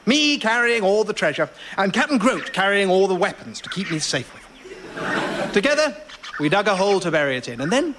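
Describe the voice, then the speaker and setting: An actor playing a pompous, cheerfully oblivious gentleman adventurer, telling a florid old-fashioned tale in a radio sketch. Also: sits high, very animated, moderate pace, background noise. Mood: blithe storytelling. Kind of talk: comic storytelling, radio sketch.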